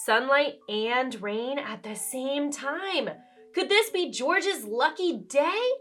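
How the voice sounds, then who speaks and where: A woman's voice: sits high, lively intonation, takes it slowly, fairly bright tone, faint music behind. A woman storyteller reading a children's book aloud expressively, with dramatic emphasis and questions to the listener.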